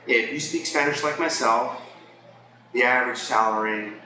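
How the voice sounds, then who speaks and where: Male vlogger, mid-pitched, conversational and explanatory, talking to viewers in a very reverberant space with people audible behind. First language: English. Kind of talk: casual advice talk to viewers. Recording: echoey space with crowd chatter behind.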